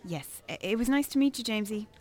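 A woman's voice: fairly high pitch, animated, quick pace, darkish tone, lightly acted. An actress playing a polite, uneasy woman in a naturalistic radio drama, courteous, hesitant and slightly formal.

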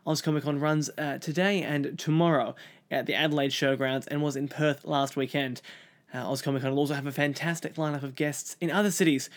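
Upbeat male host. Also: mid-range voice. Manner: upbeat scripted introductions. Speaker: podcast host. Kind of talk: interview hosting.

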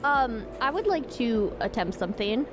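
A woman's voice: a little high, very animated, normal speed, over music. A woman playing a tabletop game, stating her character's actions and scolding in character. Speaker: player. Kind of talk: in-character roleplay and describing actions.

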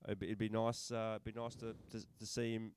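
A man's voice: fairly low pitch, little pitch movement, a little fast, darkish tone, slight background noise. A middle-aged man co-hosting a podcast, recapping fights in casual, enthusiastic chat. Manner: enthusiastic recaps, many restarts and fillers. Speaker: podcast co-host.

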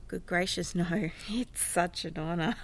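A woman's voice: on the low side, a little slow. A woman playing a prim, earnest hostess in an audio drama, with a proper, gushing, genteel manner. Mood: gushing, reverent. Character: prim, earnest female euthanasia-service hostess.

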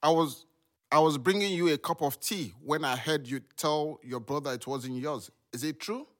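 A man's voice: on the high side, normal speed, close to an everyday voice. A man acting a middle-aged father, firm but understanding, in a modern audio drama. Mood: concerned.

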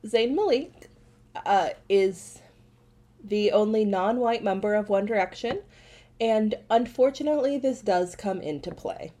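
A young woman co-hosting a casual chat podcast, relaxed and a little hesitant, often pausing on fillers. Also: mid-range voice. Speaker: podcast co-host.

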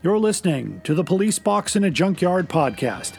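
A man's voice: high voice, big pitch swings, moderate pace, gravelly, a little mellow. A middle-aged man reading a scripted podcast promo as its host, with music playing behind him. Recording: music bed under the voice.

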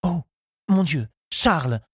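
Man voicing a coy, husky young woman. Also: mid-range voice, animated, normal speed, a little mellow, dry recording, clean studio sound. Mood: demure. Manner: demure, calculating. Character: coy, scheming young woman feigning innocence.

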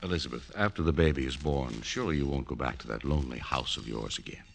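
Very deep, gravelly, kindly suitor. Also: male voice, quick pace. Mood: earnest, pleading. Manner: earnest, protective. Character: kind, steady male suitor devoted to a widow. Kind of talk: 1940s radio drama acting. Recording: old radio broadcast, faint noise.

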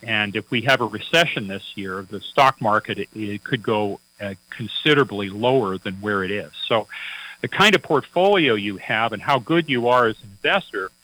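A man's voice: fairly low pitch, normal speed, bright, forceful tone, rough recording. A man working as a financial advisor, chatting on a radio talk show in a folksy, anecdotal way with many fillers and restarts.

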